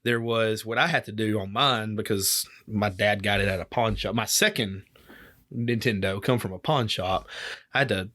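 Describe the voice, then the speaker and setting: A man's voice: lowish voice, normal speed, bright, forceful tone. Rambling man co-hosting a podcast. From Alabama. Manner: rambling, restarts often, chuckles. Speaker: podcast co-host. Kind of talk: casual podcast banter.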